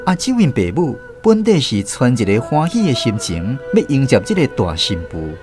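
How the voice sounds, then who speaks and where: Man, Taiwanese accent, first-person drama narrator, animated intonation, light music behind. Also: mid-range voice, normal speed.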